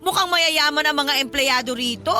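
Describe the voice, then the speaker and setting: A woman's voice: high-pitched, moderate pace, pushed and bright. A woman playing a lonely, demanding old godmother who talks in a pushy, coaxing way in a radio drama. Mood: admiring. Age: older.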